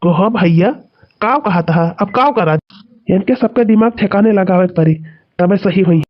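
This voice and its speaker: A man plays an insistent young village son-in-law in a cartoon comedy, his voice high and the sound dull. He complains plaintively in a rustic Awadhi accent. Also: normal speed. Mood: stubborn. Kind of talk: rural cartoon comedy dialogue.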